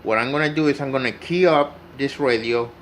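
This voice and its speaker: A man's voice: medium pitch, fairly quick, a little hiss or hum. A man giving a casual, unscripted hands-on tutorial, explaining step by step and repeating himself as he goes. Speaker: hobbyist demonstrating CB radio repair.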